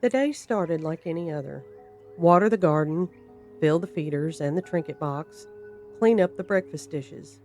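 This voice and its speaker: A narrator reading a scripted horror story in a measured, suspenseful and deliberate dramatic style. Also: medium pitch, darkish tone, faint music behind.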